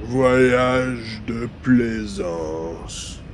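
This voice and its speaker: A man playing a drugged, dim-witted henchman in a science-fiction audio drama, groggy and halting as he answers. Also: medium pitch, slight background noise. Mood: dazed, compliant. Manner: dazed, flat, halting answers. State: groggy from a drug, acted. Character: drugged, dim-witted male henchman under truth serum.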